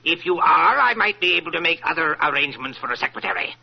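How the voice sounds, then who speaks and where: Controlling rich boss, old radio show, husky creaky voice, kinda high, cold and demanding. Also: male voice, sing-song, fast, full character voice. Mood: stern, menacing. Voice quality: gravelly, husky. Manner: curt, imperious, threatening. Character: cantankerous, demanding, controlling rich male employer. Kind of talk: old-time radio drama acting. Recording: dull vintage radio recording.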